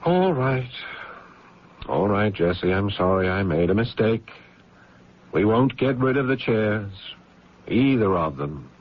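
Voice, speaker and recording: A man's voice: fairly low pitch, big pitch swings, a little hiss or hum. An actor playing a weary, exasperated older brother who also narrates his story in old-time radio drama style. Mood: resigned. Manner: world-weary, deliberate in narration, scolding when pushed. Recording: muffled radio drama recording with music.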